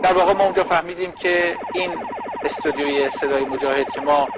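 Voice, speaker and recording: A man with an Iranian Persian accent giving a spoken recollection, hesitant and pausing mid-sentence. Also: on the high side, heavy background noise.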